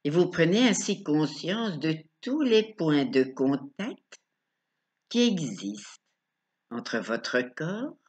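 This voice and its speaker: A woman leading a guided relaxation as a coach, speaking calmly and instructively in short phrases with pauses. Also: sits low. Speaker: relaxation coach guiding yoga nidra.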